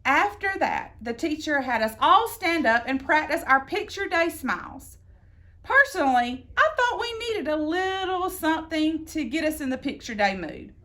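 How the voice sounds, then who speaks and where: A woman reading a children's picture book aloud in an expressive, theatrical way, drawing out words for emphasis. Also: high-pitched. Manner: theatrical, deliberate phrasing with drawn-out emphasis. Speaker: storybook reader.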